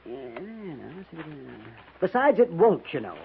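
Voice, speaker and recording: Fussy, muttering old-radio storekeeper. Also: male voice, on the high side, huge pitch swings, moderate pace, creaky, exaggerated voice. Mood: absorbed, pleased. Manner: muttering, hesitant, repeats himself. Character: fussy, money-loving male frontier storekeeper. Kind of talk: 1950s radio western acting. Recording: noisy, tinny 1950s radio recording.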